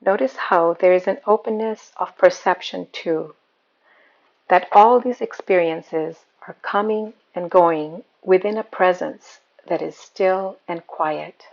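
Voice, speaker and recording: Low, creaky-voiced woman guiding meditation. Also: dull audio. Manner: calm, soothing, unhurried instruction. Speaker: yoga nidra meditation guide. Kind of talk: guided meditation.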